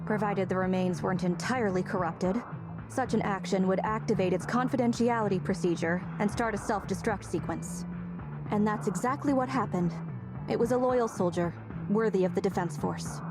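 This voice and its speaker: A woman acting as a disciplined female soldier from a video game, stern and guarded. Her delivery is clipped and formal, military to the core, at a medium pitch. Loud music plays behind her. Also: normal speed, natural voice. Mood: commanding. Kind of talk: video game story dialogue.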